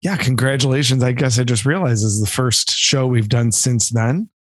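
A man from Michigan, mid-pitched, trades wry jokes in a relaxed podcast chat. His voice sits close and dry on the mic. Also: normal speed, dry recording. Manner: wry, joking. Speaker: podcast co-host. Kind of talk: casual chat. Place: home.

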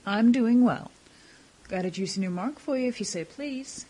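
A woman's voice: on the high side, normal speed, mellow, husky, low-quality recording. A woman playing an affectionate, teasing mother who brokers criminal jobs in an audio drama, chatty and fond.

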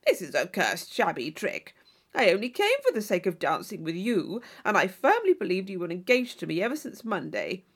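Audiobook role: woman as boastful young Regency gentleman, blustering and brash, full of loud exclamation. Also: mid-range voice, wildly swooping pitch, moderate pace, slightly rough. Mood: blustering, aggrieved. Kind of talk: audiobook character voice.

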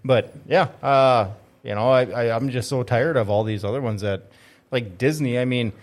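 Male panelist, medium pitch, informal conversational delivery. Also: normal speed. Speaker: podcast panelist. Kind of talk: casual chat.

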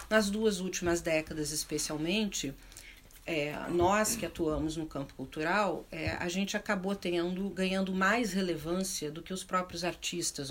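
A middle-aged woman with a southern Brazilian accent, speaking unscripted in a panel discussion, reflective and often searching for words as she rephrases. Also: on the low side. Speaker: panel speaker on arts and culture.

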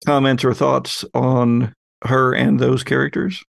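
A man's voice: medium pitch, little pitch movement, measured pace, vocal fry, dry recording, clean studio sound. A man casually hosting a podcast discussion, passing questions to the panel. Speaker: podcast host leading discussion. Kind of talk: casual moderated chat.

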